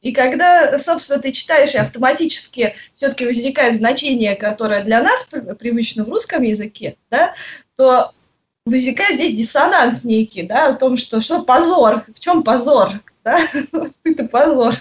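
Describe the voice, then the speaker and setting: A woman answering interview questions, pausing often and searching for her words. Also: high voice, animated. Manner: hesitant, searching for words with frequent pauses. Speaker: guest. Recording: muffled lo-fi audio.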